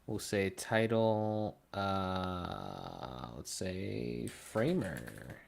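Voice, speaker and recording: A young man from Pittsburgh hosting a casual livestream, thinking aloud and trailing off into low mumbles. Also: sing-song, drawn-out words, gravelly, a little hiss or hum. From Pittsburgh, Pennsylvania. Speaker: livestream host. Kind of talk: casual live co-stream chat. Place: small room at a desk.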